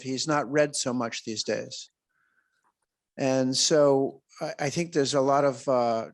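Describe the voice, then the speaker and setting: A man leads a festival Q&A with a film director, hesitating often and restarting his questions. His voice sits a little high. He talks over a video call. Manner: hesitant, many ums and restarts. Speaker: festival interviewer. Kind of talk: festival Q&A interview.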